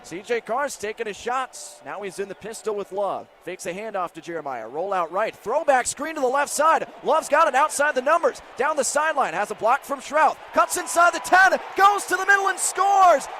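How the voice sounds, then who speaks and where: High-pitched male football play-by-play announcer. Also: normal speed, hardly any pauses. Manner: excitable, bursts into shouting on big plays. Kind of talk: live football radio play-by-play commentary. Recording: live stadium broadcast with faint noise.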